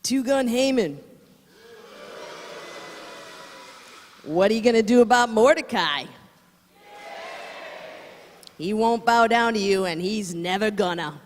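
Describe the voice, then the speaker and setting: A man's voice: high voice, expressive, normal speed. A man playing a nosy, needling gangland sidekick in a comic old-time radio play. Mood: goading. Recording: echoey hall with background noise.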